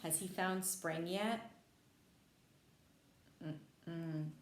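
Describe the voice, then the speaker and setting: Woman reading a picture book to little kids, really unhurried, expressive, echoey room. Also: on the low side, slight background noise. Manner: expressive, simple child-directed talk. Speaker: pre-K read-aloud host. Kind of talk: storybook reading for young children.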